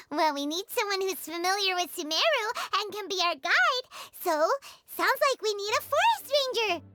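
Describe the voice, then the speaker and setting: A woman voicing a small, chirpy, childlike fairy companion with a squeaky, exclamatory delivery in an anime game. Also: sing-song, measured pace, bright, forceful tone, exaggerated voice. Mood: chatty. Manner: speaks of self in third person, exclamatory.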